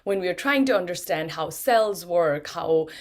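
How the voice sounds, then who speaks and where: Thoughtful woman from Nepal, lowish voice. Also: lively intonation, measured pace. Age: middle-aged. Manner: thoughtful, many ums and restarts. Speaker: guest, neuroscientist and yoga teacher. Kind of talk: casual interview talk.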